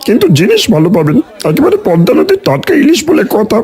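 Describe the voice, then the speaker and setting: A man's voice: high-pitched, huge pitch swings, fast, mellow, husky, full character voice. A man voicing an eager, cheeky village fish seller in a cartoon, with deferential sales patter. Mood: eager, persuasive. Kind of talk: cartoon story dialogue.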